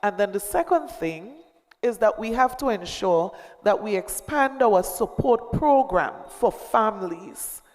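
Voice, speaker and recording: A woman answering questions as a panelist at a town hall meeting, explaining in a measured way and often checking in with "right?". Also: medium pitch, lively intonation. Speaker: party panelist. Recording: reverberant hall.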